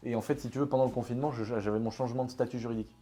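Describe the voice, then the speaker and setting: A man from France hosting a casual interview, talking in a quick, colloquial and jokey way with many fillers. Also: mid-range voice, steady tone, mellow, faint music behind. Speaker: YouTuber host interviewing a guest.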